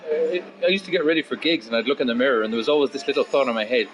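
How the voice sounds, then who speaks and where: A man's voice: mid-range voice, racing. Blunt, rambling fifty-year-old man, dull recording. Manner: blunt, sweary, rambling, animated asides. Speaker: musician and guitar teacher being interviewed. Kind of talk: casual philosophical interview chat.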